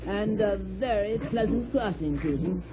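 An actor playing a kindly, avuncular fish guide who explains things courteously in an old-time radio children's drama. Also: male voice, high-pitched, animated, unhurried. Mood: kindly, informative. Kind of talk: 1940s radio acting. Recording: dull, noisy old radio recording.

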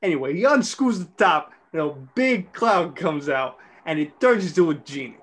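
A man's voice: fairly high pitch, expressive, normal speed. A man in an amateur script reading, playing a playful young storyteller spinning a tall tale.

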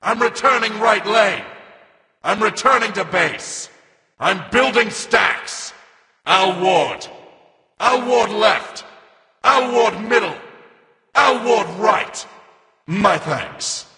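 An actor playing a grim, battle-hungry robotic reaper warrior in a video game, barking short, commanding callouts. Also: male voice, high voice, lively intonation, slow pace, gravelly, long pauses, in a large hall, exaggerated voice. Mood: grim, controlled. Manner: forceful, barked short commands.

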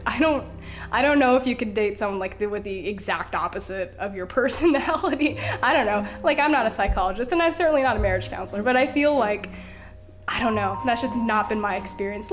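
A young woman chatting casually while she builds characters in a game, a little stuffy from being sick, rambling with lots of "like" and "you know" and small laughs. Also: fairly high pitch. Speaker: Sims gameplay creator. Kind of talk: casual chat over gameplay.

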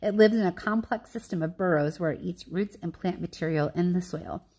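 A woman's voice: fairly low pitch, brisk, darkish tone, slightly rough. A woman reading a children's picture book aloud like a teacher, explaining things with informal asides and questions to her listeners.

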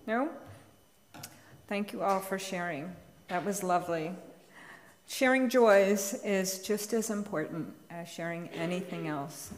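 Slow-spoken woman in a reverberant hall. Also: fairly low pitch. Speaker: worship leader at a church. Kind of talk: closing words of a church service. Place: large church sanctuary, congregation present. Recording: reverberant church hall with faint noise.

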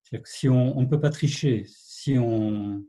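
A man, a novelist, speaking reflectively in an online literary conversation, pausing often with fillers and restarts. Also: medium pitch, warm tone. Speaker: novelist, guest. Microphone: video call.

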